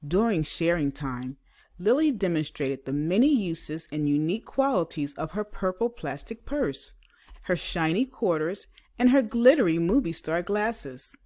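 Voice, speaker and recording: A woman with a fairly low voice reads a children's storybook aloud. She is expressive and emphatic, telling the story in the manner of a teacher reading to pupils. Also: measured pace. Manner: dramatic, emphatic delivery with acted character voices.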